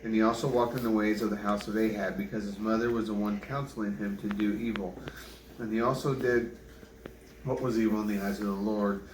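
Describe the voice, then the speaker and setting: A man reading scripture aloud in a plain, unpolished way, halting and correcting himself over names. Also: fairly low pitch, little pitch movement. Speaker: Bible reader. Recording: roomy, distant mic, clear background noise.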